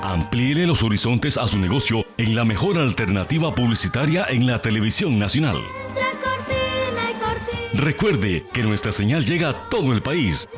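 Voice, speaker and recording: A man's voice: mid-range voice, expressive, normal speed, loud music behind the voice. A man reading a TV commercial as announcer with an upbeat promotional delivery. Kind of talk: TV ad read.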